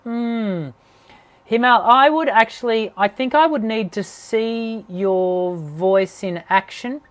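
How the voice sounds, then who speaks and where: A woman's voice: fairly high pitch, slow. A woman, a vocal coach answering viewer questions on a live stream in a meandering way, often correcting herself mid-sentence. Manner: meandering, thinks aloud, self-correcting.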